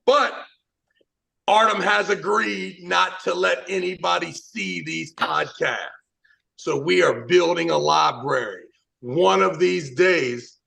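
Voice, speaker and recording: A man's voice: high voice, gravelly, pushed and bright. A man hosting a podcast in a brash, profane, showman-like way. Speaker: podcast host. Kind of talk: casual podcast banter.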